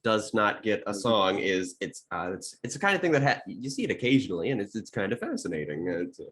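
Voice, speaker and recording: A man chatting casually in a group, halting and often repeating his words as he goes. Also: lowish voice, sing-song, fairly bright tone.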